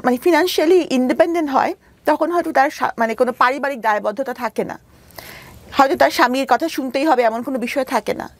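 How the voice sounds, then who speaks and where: High-voiced, creaky Bangladeshi woman telling her story. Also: dry recording. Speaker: interview guest telling her life story; doctor. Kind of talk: candid personal storytelling in a radio interview. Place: radio studio.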